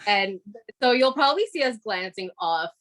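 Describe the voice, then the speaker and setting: This is a woman in her thirties co-hosting a podcast. She talks casually with plenty of "um"s and laughs, and her voice sits at an ordinary middle pitch. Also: expressive, normal speed, fairly bright tone. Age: 30s. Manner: giggly, chatty, lots of fillers. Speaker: podcast co-host. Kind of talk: casual chat.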